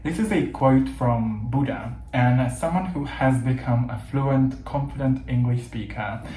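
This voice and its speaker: A man's voice: medium pitch, unhurried, warm tone, slight background noise. A man in his thirties, a native Hungarian speaker, teaching English learners in an earnest, encouraging way. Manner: earnest, encouraging, emphatic lists. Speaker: English coach. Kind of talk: motivational teaching monologue. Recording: echoey room with faint ambience.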